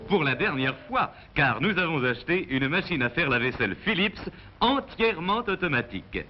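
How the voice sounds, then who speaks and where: Insistent old-advert gent: very lively pitch swings, dull archive sound with faint music. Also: male voice, normal speed. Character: insistent, questioning man in an old appliance advert. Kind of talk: vintage French advertisement acting.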